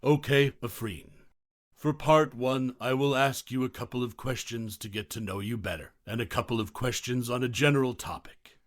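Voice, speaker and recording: A man's voice: mid-range voice, fairly even intonation, normal speed, no room sound, clean studio sound. A man playing an examiner, asking test questions in a formal, instructional way. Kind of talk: scripted mock exam questions.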